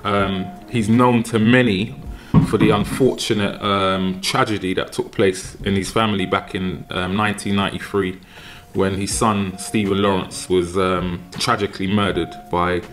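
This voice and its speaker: A man in his forties from London, hosting a casual podcast interview from his home kitchen on a desk mic, halting with many ums and repeated words. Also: low-pitched, little pitch movement, noisy background. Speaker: podcast host. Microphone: desk mic on a boom arm.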